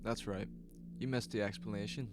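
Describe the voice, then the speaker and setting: Man playing eerily placating low-voiced policeman. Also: fairly even intonation, brisk, over music, close to an everyday voice. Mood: eerie agreement. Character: calmly reassuring, eerie false policeman. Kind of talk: audio horror drama acting.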